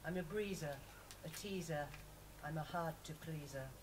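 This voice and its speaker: A woman's voice: low-pitched, moderate pace. Woman poet reciting, distant noisy recording. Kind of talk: reciting own poems to an audience. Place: live festival reading, picked up from a distance.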